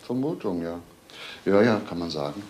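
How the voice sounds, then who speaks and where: An actor playing a shrewd, deliberate police detective in a German crime radio play, musing and often tagging questions on. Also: male voice, low-pitched, expressive, darkish tone. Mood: probing, musing. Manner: musing, repeats words, questioning tags. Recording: faint noise and clicks, older radio play.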